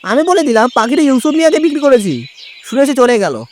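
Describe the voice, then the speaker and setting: A woman's voice: high-pitched, big pitch swings, a little fast, a little mellow, a little hiss or hum. A woman playing a street bird seller being questioned in an audio drama. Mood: recounting. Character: foreign-born ex-sailor bird seller under questioning, male in story.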